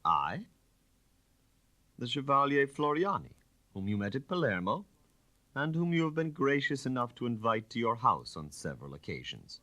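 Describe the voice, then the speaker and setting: A man voicing an audacious young gentleman thief in an audiobook, courteous and teasing, with theatrical delivery. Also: mid-range voice, very animated, normal speed, no echo, voice pushed up. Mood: coolly provocative.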